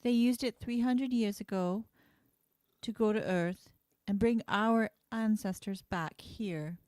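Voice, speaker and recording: A woman playing a kind, earnest and quietly defiant frontier schoolteacher in an amateur radio play, explaining things in a measured way. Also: medium pitch, clean tone, dry recording, close to an everyday voice. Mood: somber, explanatory.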